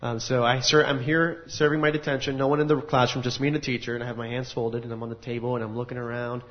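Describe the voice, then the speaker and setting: A man's voice: mid-range voice, level tone, quick pace. Earnest man telling his story, lo-fi. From United States. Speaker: speaker giving a testimony. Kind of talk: personal testimony at a faith gathering. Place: large room with an audience. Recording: dull lo-fi recording.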